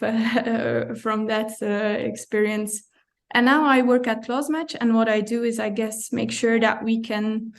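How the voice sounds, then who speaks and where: A woman from Belgium, a compliance director, talking through her work in a relaxed interview, often pausing and correcting herself with small laughs. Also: fairly high pitch, gravelly. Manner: thoughtful, self-correcting, light laughs. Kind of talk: conversational interview.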